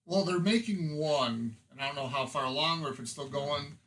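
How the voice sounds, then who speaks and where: A man's voice: fairly high pitch, moderate pace, fairly bright tone, low-quality recording. A man co-hosting a podcast, talking in casual, conversational style. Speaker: podcast co-host.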